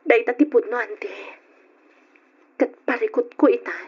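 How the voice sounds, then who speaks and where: Troubled, hesitant young heroine, high voice, old radio drama on a dull recording. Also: female voice, normal speed, slightly rough. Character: troubled, hesitant young woman hiding her feelings.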